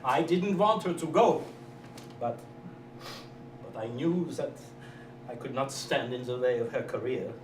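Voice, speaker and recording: An actor playing a grieving, fanatical scientist in a melodramatic, declamatory way at a staged sci-fi reading. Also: male voice, medium pitch, unhurried, pauses often, lightly acted. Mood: mournful. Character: grieving, fanatical immigrant male scientist hating alien zompires. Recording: distant, echoey live room with clear noise.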